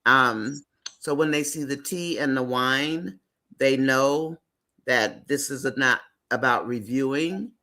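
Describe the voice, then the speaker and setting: A man's voice: mid-range voice, slow, pushed and bright. A man hosting a live stream, chatting casually and rambling with frequent fillers.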